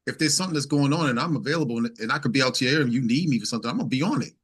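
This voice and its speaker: Bright-voiced man, emphatic interview guest. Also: mid-range voice, animated, very fast, slightly rough. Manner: emphatic, repeats phrases as he builds points. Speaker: interview guest, singer-songwriter. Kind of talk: casual interview conversation.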